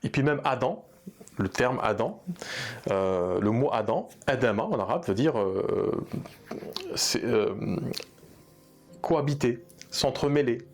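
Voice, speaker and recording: A man's voice: medium pitch, expressive, slow pace, pauses often. A man coaching therapy practitioners in an unscripted talk, speaking earnestly and hesitantly with many fillers and restarts. He also speaks Arabic. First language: bilingual in Arabic. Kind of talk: unscripted advice talk to camera.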